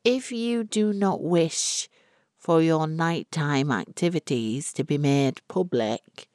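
Kobieta jako chłodna, wyrachowana starsza matrona, powolna mowa. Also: female voice, sits low, darkish tone, no room sound, clean studio sound. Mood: smug threat. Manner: slow, measured, genteel condescension. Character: cold, scheming older wealthy matriarch, smugly menacing.

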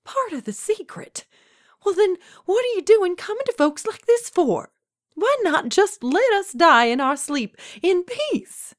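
A woman's voice: fairly low pitch, animated, measured pace, no echo, studio quality. A woman voicing a feisty, demanding widow, in a told story. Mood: indignant. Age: 80s. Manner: folksy, demanding, scolding. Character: feisty, indignant old Southern woman, nearly eighty-seven.

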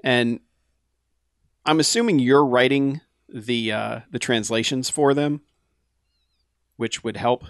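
Casual podcast host, male, mid-pitched, easygoing, dry close-mic sound. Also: dry recording. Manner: relaxed, laughs often, slows down when reading emails. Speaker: podcast host reading listener mail.

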